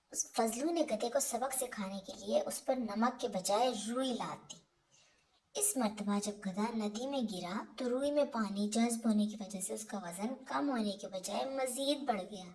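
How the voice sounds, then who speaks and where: A woman narrating scripted moral stories for children in a deliberate storybook manner. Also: fairly high pitch, darkish tone.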